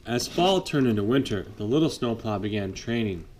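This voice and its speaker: A man reading a picture book aloud to his child at bedtime, lively, pausing to chat with the child. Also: mid-range voice, slight background noise, poor audio. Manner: lively read-aloud, voices for the trucks, chats with the child. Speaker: father reading a bedtime story.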